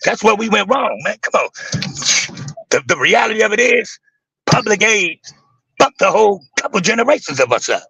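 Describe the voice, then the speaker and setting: A man with a raspy voice who talks intensely and with emphasis, swearing freely in a casual livestream chat. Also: high voice, sing-song, normal speed, bright, forceful tone, a little hiss or hum, rough recording. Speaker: guest on a live stream.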